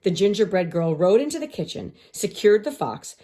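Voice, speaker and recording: A woman reads a storybook to children in a teacher's manner. Her pitch is medium and moves widely, with expressive, careful phrasing throughout. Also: moderate pace. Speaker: teacher reading aloud.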